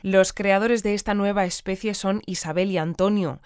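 Smooth, deadpan actress as female newsreader. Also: medium pitch, no room sound, clean studio sound, close to an everyday voice. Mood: composed deadpan. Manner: deadpan, measured, polite. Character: composed, deadpan female community radio newsreader. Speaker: news presenter. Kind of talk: scripted radio news narration.

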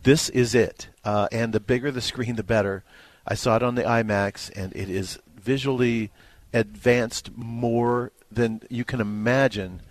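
A man's voice: medium pitch, steady tone, unhurried, no echo. A man giving a conversational movie review as a critic, informal and emphatic in his delivery. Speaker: film critic.

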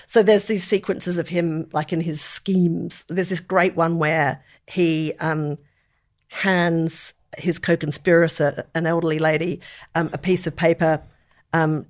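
A woman in middle age with a slightly low voice talks in a casual, conversational way. She sounds like a podcast co-host, choosing expressive, descriptive words as she goes. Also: unhurried. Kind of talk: casual chat about films.

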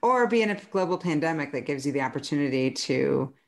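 A woman in her forties hosts a casual podcast interview with a notably low voice. She is friendly and chatty, laughing easily between her questions. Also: lively intonation, fast, creaky. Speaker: podcast host.

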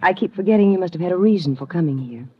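Husky, arch haughty rich wife. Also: female voice, on the low side, lively intonation, racing, dry recording. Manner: arch, languid, sardonic. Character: cool, haughty, flirtatious wealthy wife in her twenties. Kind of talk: 1950s radio noir acting. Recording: muffled old 1950 radio recording.

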